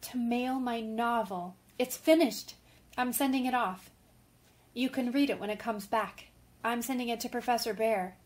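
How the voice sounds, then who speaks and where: A woman's voice: a little high, normal speed, natural voice. A woman playing an earnest, impulsive young woman writer in an old-time radio drama. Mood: firm, restrained.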